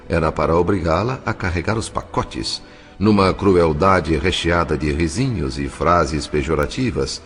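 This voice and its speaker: A man with a Brazilian accent narrating a scripted dramatic novel with measured, literary, expressive phrasing. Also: low voice. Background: faint music.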